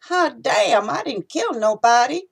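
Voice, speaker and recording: A woman's voice: sits high, animated, unhurried, creaky, husky, pitched above the performer's own voice, exaggerated voice. Woman voicing an indignant streetwise male cab driver. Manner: indignant, profane, pleading.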